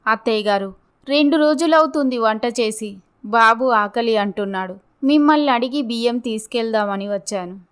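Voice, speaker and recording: A meek, wounded young wife in a village storybook drama , played by a woman. Her voice is high-pitched and very clear. She speaks earnestly, as if always pleading. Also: normal speed, lightly acted.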